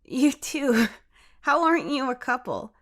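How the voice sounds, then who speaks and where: A woman's voice: high-pitched, very animated, a little slow, fairly bright tone, voice pushed up, heavily acted. A woman voicing a timid, sweet teenage girl in an audiobook story, hesitant and earnest. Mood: gentle, tentative.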